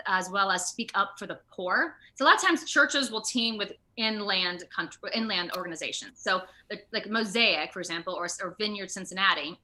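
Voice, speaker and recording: An American woman from Ohio talking casually as an interview guest, earnest and animated, rambling from point to point. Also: medium pitch, rough recording. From Ohio, United States. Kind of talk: casual online interview chat.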